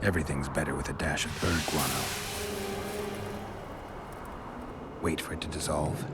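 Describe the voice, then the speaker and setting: An actor playing a gruff, world-weary monster hunter in a video game, speaking in a deadpan, measured way. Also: male voice, a bass voice, heavy background noise. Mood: quiet, musing.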